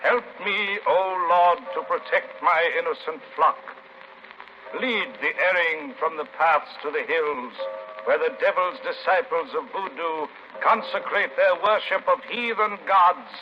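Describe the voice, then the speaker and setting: Actor as a fervent priest, fairly high voice. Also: male voice, measured pace, music in the background, natural voice. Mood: fervent, pleading. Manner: declamatory, solemn, archaic diction. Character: devout priest defiantly guarding his flock against evil. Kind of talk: 1930s radio drama acting. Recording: muffled, noisy 1930s radio recording.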